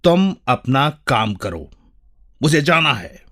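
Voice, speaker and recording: A man's voice: medium pitch, sing-song, slow, fairly bright tone, pitched above the performer's own voice, heavily acted. A man voicing an irritable, exhausted woman with a curt, acidic manner in a dramatic story reading. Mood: irritated. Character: irritable, bitter, exhausted woman snapping at a client.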